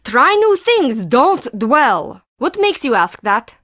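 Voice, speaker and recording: A woman's voice: high voice, very animated, slow, fairly bright tone, no room sound, clean studio sound, exaggerated voice. An actress playing a timid, defensive woman artist and forger in a video game, with intense, theatrical delivery in clipped phrases. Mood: earnest, reflective. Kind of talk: scripted video game character dialogue.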